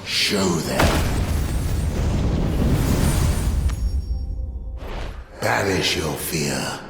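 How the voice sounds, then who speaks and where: Super low solemn immortal commander, game style, slow n imposing, big echo. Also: male voice, expressive, creaky, music in the background, exaggerated voice. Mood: urgent, commanding. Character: solemn, commanding immortal warrior leader, grave and ancient. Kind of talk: video game voice acting. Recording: echoey hall with long reverb.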